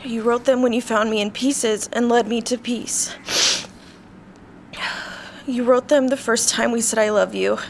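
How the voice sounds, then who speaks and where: A young woman reading her wedding vows in a barn, soft-spoken, hesitant and tearful. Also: fairly high pitch, fairly even intonation, unhurried, vocal fry, long pauses, a little hiss or hum. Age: young adult. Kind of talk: emotional personal wedding vows. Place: large open barn venue, indoors.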